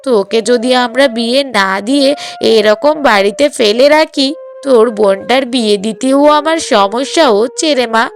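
A woman's voice: fairly high pitch, moderate pace, close to an everyday voice. A woman playing a middle-aged village mother in a cartoon drama, coaxing in a fretful, affectionate way. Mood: coaxing, worried.

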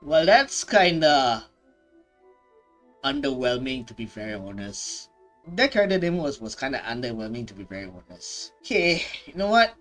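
A man's voice: medium pitch, very animated, normal speed, a bit bright, soft background music. A young adult man giving casual reaction commentary, informal and given to repeating himself. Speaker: reaction video host.

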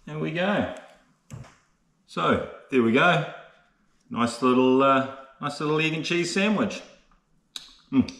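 A man's voice: fairly high pitch, slow pace, pauses often, fairly bright tone, no echo. A man narrating a casual camping and hiking vlog to camera in a chatty, understated way, often adding little tag questions. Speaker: solo hiking vlogger.

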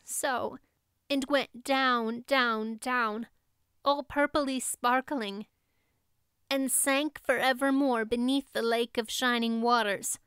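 A woman's voice: high voice, a bit bright, smooth, dry recording, studio quality. A woman voicing an imaginative, earnest young girl with a theatrical, pleading manner, reading from a storybook.

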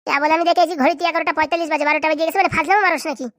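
A woman performs a domineering cartoon madam with a high, dry-sounding voice. She scolds and blusters very quickly in a rural Bengali accent, quick to anger and full of command. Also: fairly even intonation, fairly bright tone, no room sound. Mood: outraged. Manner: scolding, blustering, insult-laden. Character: bossy, quick-tempered madam, scolding and cursing. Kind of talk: comic cartoon dialogue.

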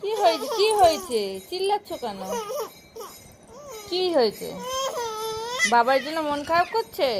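A woman talking baby talk in a cooing, coaxing way, repeating gentle questions to a baby. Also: very high-pitched, big pitch swings, unhurried, pauses often. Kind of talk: baby talk to a crying, shrieking baby. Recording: noisy home recording with baby shrieks.

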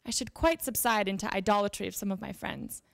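A young woman giving a dramatic reading of old letters at a lectern, with measured, deliberate phrasing. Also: medium pitch, vocal fry. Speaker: reader at a literary event. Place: library event room with seated audience. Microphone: handheld microphone at a lectern.

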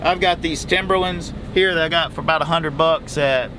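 A man's voice: fairly high pitch, normal speed, noisy background. Chatty trucker in his cab. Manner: chatty, folksy, animated hand gestures. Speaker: truck driver vlogger. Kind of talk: casual advice vlog. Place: small truck sleeper cab, indoors. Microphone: camera in front at close range.

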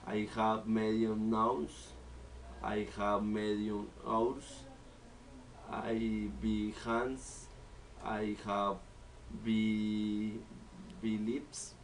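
A young man from Colombia in his twenties, speaking English with a Colombian Spanish accent as a learner and reciting a self-introduction word by word with pauses. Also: lowish voice, monotone, distant mic, slight background noise. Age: 20s. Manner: halting, word-by-word delivery with pauses. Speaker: student. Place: small living room at home. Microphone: camera in front of the speaker.

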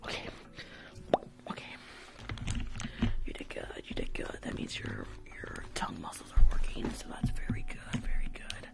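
A young woman whispering breathily in an ASMR medical roleplay, giving soft, repetitive, casual instructions close to the mic. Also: normal speed, pauses often. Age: young adult. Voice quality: breathy whisper, mostly unvoiced. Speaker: ASMR streamer playing a doctor. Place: small room at home.

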